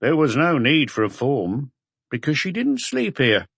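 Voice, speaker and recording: Man voicing a gruff, tired hotel owner, lifted pitch. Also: wildly swooping pitch, unhurried, a bit bright, no room sound, heavily acted. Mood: gruff, weary. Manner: blunt, curt answers.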